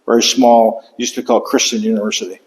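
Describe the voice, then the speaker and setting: An older man giving an author talk in a lecture room, speaking haltingly with many fillers and restarts. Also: medium pitch, flat delivery. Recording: long hall reverb.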